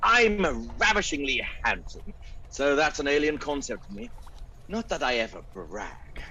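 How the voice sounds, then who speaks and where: A man playing a vain, excitable captain in a comic radio drama, given to melodramatic exclamation. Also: fairly high pitch, measured pace, breathy edge, dull audio, heavily acted. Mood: smug. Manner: self-regarding, melodramatic exclamations.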